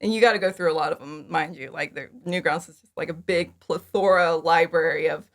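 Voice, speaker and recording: A woman speaking as a guest in a casual interview, giggly, with animated asides and lots of "like". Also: sits low, brisk. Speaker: podcast guest, fan audio drama creator.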